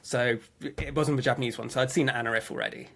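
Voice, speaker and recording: A man's voice: medium pitch, fairly even intonation, fast, fairly bright tone. A man telling personal anecdotes in a casual interview, chatty, often acting out his own remarks and restarting sentences. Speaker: interview guest, fan website webmaster.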